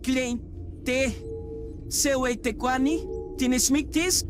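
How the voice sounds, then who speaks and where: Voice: high-pitched, steady tone, extremely slow, music in the background. A performer voicing an anxious, bewildered youth in a fan-dubbed cartoon, hesitant and questioning. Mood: worried, bewildered. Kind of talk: fan-dubbed animated drama.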